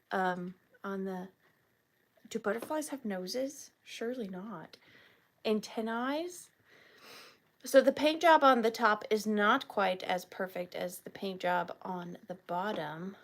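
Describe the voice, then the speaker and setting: A woman hosting a live vintage sale from her home, talking softly and chattily, sometimes dropping to a whisper. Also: a little high, creaky. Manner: soft, slow and chatty, drifting into whispers. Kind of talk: live auction selling chat. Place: home studio with ring light.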